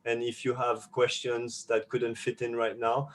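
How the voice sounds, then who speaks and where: A man moderating an online webinar over a video call, talking in a halting way with many restarts and fillers. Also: medium pitch, completely flat delivery. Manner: says a French thank-you.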